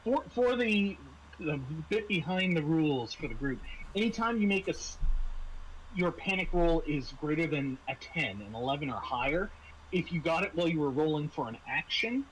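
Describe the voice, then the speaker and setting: A man narrates a tabletop horror game as its game master. He talks casually and haltingly, doubling back on words as he explains rules. There is faint noise on the recording. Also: on the high side, expressive, a bit bright, rough recording. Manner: halting, repeats words and phrases.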